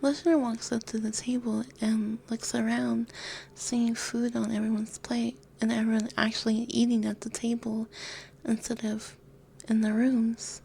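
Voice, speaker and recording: A woman reads a scripted ASMR story in a gentle, breathy voice that often drops to a whisper. Her tone is mellow and fairly high, close on a binaural mic, with faint noise behind. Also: flat delivery, unhurried. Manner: gentle, soft-spoken. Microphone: close binaural microphone.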